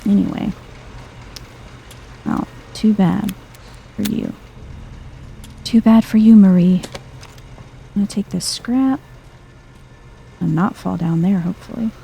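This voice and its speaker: A woman giving soft-spoken, chill ASMR-style commentary as she plays a video game, murmuring as if to herself. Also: medium pitch, takes it slowly, gravelly, long pauses, a little hiss or hum, rough recording. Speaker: video game streamer, let's player.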